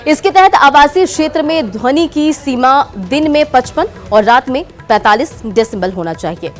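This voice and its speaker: A woman anchors a television news segment in a distinctly high voice. She reads scripted explanations as a presenter does, over a faint music bed. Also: animated, moderate pace. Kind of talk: scripted news narration with live anchor hand-off.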